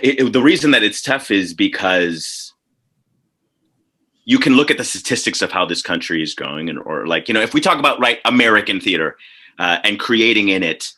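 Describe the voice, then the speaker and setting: Man, panelist, very bright forceful tone, slightly low pitch, halting, repetitive, casual, video call. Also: animated. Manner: halting, repetitive, thinking aloud. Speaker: panelist, theatre artist. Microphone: video call microphone.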